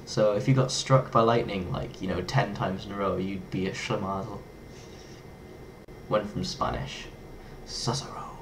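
A man's voice: fairly low pitch, unhurried, noisy background. A young man with an English accent, talking playfully to his webcam in a small bedroom. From England. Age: young adult. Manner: playful, lively, toys with foreign words. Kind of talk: casual vlog talk to camera.

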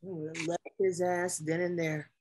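A woman's voice: on the low side, little pitch movement, slow pace, darkish tone. A woman acting a protective Navy officer in her late thirties at a script table reading. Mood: scornful.

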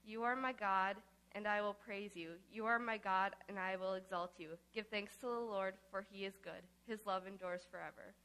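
A woman's voice: mid-range voice, steady tone, normal speed, few pauses, bright, forceful tone, clean tone. A woman reading a psalm aloud as a scripture reader at a church service. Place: large church sanctuary.

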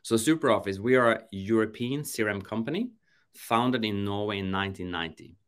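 Male host, 30s, measured moderator, low-set voice, office video call. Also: a bit bright, smooth. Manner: measured, friendly moderator with some restarts. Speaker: webinar host. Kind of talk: business webinar conversation.

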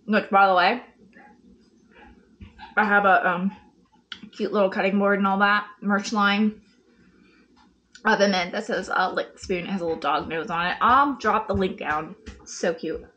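A woman's voice: mid-range voice, steady tone, a little slow, pauses often, pushed and bright. A woman, chatting casually to the camera while she cooks in her home kitchen. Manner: chatty, talks to kids and dogs between asides. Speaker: vlogger and mother. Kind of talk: casual chat while cooking. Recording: background music.

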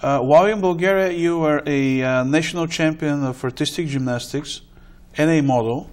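A middle-aged man hosting a studio interview, asking slow, deliberate questions with many hesitant pauses. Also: a little high, a little mellow. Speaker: talk show host. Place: TV studio with blue curtain.

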